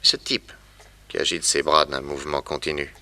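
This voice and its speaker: A man's voice: extremely low pitch, little pitch movement, moderate pace, bright, forceful tone, exaggerated voice. An actor playing a shrewd, confident detective who explains what he observes, in a 1960s French radio serial. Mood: confident, observant.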